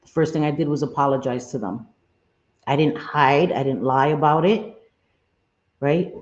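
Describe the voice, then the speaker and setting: Husky-voiced woman livestreamer, blunt and earnest. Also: low voice, moderate pace, a little mellow. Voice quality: husky smoker's voice. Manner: blunt, earnest, salty language, repeats phrases. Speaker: live stream host. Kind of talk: candid livestream chat, reading chat comments. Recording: muffled roomy home stream audio.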